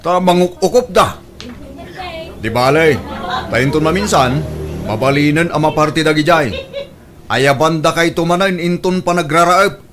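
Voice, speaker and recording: An actor playing a casual, wheedling, stingy grown son in an Ilocano radio comedy drama. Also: male voice, on the high side, a little slow, pauses often, crowd noise, lightly acted. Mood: apologetic, jovial. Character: easygoing, stingy son fond of his chickens.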